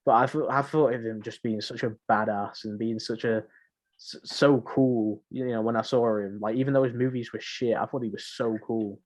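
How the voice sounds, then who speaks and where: A man's voice: medium pitch, steady tone, moderate pace, darkish tone. A young man co-hosting a podcast in casual, rambling, informal chat. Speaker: podcast co-host. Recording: muffled lo-fi home recording.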